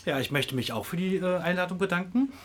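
A man's voice: high-pitched, expressive, fast, fairly bright tone. A middle-aged man from Greifswald answering interview questions in a radio studio, hesitant with many fillers. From Greifswald, Germany. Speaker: interview guest, travel website operator.

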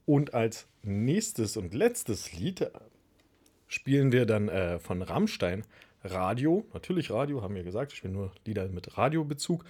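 A man's voice: medium pitch, darkish tone, breathy edge. A man hosting a radio show casually, chatty and a little hesitant in his phrasing. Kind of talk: casual magazine show presenting.

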